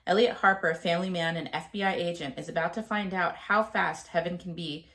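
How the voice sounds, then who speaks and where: A woman in her thirties chats to camera about her reading plans, sounding a little nasal and gravelly from a cold. Her voice sits on the low side, and she talks loosely, with plenty of ums and uhs. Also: fairly even intonation, brisk, fairly bright tone. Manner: chatty, with frequent ums and uhs. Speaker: book vlogger. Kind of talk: casual chat to camera.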